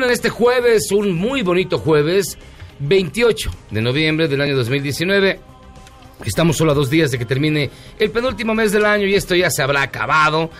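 A man's voice: sits high, fairly bright tone. Mexican man hosting a radio talk show. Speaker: radio host.

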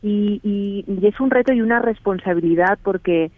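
A middle-aged woman with a Spain Spanish accent answers questions over the phone. Her delivery is earnest and measured, often restarting a phrase before she continues. The sound is thin and tinny, like a phone line, and dry. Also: mid-range voice, dry recording. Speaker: guest, TV production company head. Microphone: telephone.

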